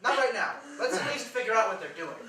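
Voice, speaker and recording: A man's voice: high voice, animated, quick pace. A man on stage playing an impatient, blunt writer who sounds peevish and lays down rules. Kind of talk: theatrical staged-reading dialogue. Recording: distant echoey stage recording, loud noise.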